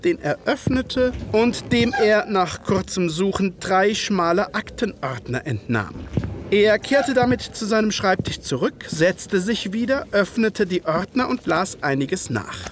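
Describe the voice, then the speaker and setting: A man's voice: sits high, lively intonation, a little slow, background noise. A man playing an earnest, easily indignant Victorian army doctor who narrates a German radio play in formal, old-fashioned phrasing. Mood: measured narrating.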